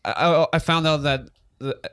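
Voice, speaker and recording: Halting young Taiwanese guy, chatting. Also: a little high, steady tone, fairly bright tone, smooth. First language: Mandarin. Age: young adult. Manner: halting, many restarts and fillers. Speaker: podcast co-host. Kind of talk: casual chat.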